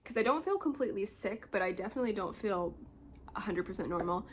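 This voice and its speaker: A woman's voice: mid-range voice, animated, fast, a little hiss or hum. A woman vlogger chatting casually about books she read, talking in a rambling way with little laughs while under the weather with a slight cold. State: slight cold, low energy. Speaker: book vlogger. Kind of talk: casual book review chat. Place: home, at a desk with mugs.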